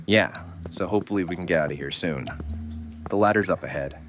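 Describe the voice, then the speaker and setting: A world-weary survivor with a low, gruff voice, played by a man, speaks bluntly, like a stern mentor in a horror audio drama. There is slight noise behind the voice. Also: a little fast, natural voice. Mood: subdued, grim. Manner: blunt, scolding, urgent.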